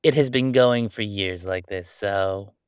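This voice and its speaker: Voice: low voice, a little slow, smooth, no echo, clean studio sound. An actor playing a chatty, sardonic ghost roommate in a roleplay audio, talking in a casual, teasing, exclamatory way. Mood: wry, chatty. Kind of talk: roleplay audio acting, conversational.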